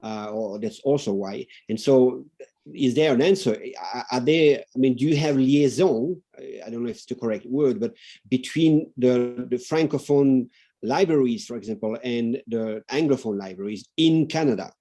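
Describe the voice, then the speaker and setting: A man's voice: medium pitch, normal speed, dark tone. A man from Belgium with a French accent, a researcher earnestly asking a question in an online roundtable and searching for his words. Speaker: researcher, panel participant. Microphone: video call.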